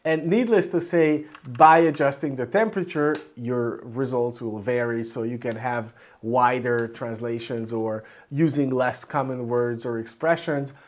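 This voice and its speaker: A man's voice: mid-range voice, a little slow, hardly any pauses. A man whose first language is Hungarian gives an informal screencast programming tutorial, thinking aloud as he types. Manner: thinks aloud while typing. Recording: clear background noise, keyboard clicks. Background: computer keyboard typing and mouse clicks.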